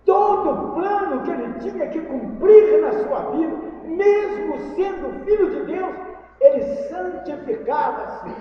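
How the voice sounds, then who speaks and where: Man, very high voice, Brazilian accent, emphatic sermon, repeated phrases, heard from far off. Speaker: preacher. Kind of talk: sermon to a congregation. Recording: echoey hall from far off, dull, faint noise.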